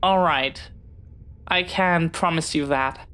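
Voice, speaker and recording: Slow-paced, earnest young pirate played by a woman. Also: low-pitched, a bit bright, clear, resonant tone. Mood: relieved, sheepish. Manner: dramatic, halting, swinging from theatrical threats to sheepish chatter. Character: young pirate captain's child, bookish, dreamy, jumpy but earnest. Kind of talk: scripted audio roleplay addressed to the listener.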